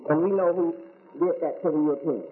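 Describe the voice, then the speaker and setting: A man's voice: a little high, fairly even intonation, brisk. An American man giving a religious lecture as an Islamic teacher, asking rhetorical questions and repeating key words. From United States. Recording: tinny phone-like recording with faint hiss.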